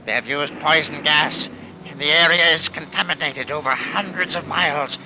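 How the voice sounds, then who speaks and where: An actor playing a menacing official with a measured, formal, oily manner, in an old radio drama. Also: male voice, on the high side, noisy background, natural voice. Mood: stern warning. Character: menacing, leering official turning travelers back. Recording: vintage radio recording with music behind.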